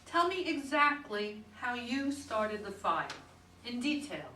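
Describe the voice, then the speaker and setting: A woman's voice: a little high, sing-song, slow pace, pushed and bright, heavily acted. A woman in a stage play reading, playing a persistent, no-nonsense police detective with firm questioning. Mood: probing. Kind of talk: stage play read aloud by readers' theater. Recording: echoey room, distant mic, faint noise.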